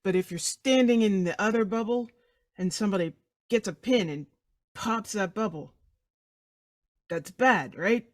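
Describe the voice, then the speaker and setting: Measured man playing a tabletop game. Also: high-pitched, no room sound, studio quality. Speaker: player. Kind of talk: tabletop roleplay, explaining by analogy.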